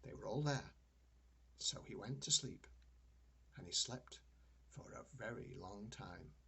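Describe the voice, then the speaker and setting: An older man, a grandfather, reading a children's story aloud in an expressive, deliberate way. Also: on the low side, creaky, slightly rough.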